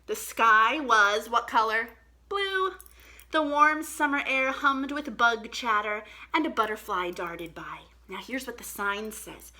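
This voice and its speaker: A woman hosting an interactive musical storytime for children, talking in an animated, encouraging and playful way. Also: a little high. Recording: clear background noise.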